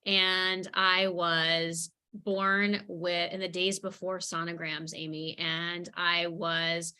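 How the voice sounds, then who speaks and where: Bright, forceful woman storyteller. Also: lowish voice, level tone, slow pace, clear, resonant tone. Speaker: guest speaker, author. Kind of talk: personal storytelling in an interview.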